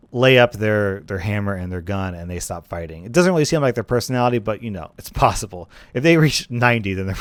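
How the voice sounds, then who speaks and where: A man's voice: fairly low pitch, no echo. A man giving casual, speculative commentary on a video game, thinking aloud in a conversational way through hypothetical scenarios. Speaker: gaming commentator.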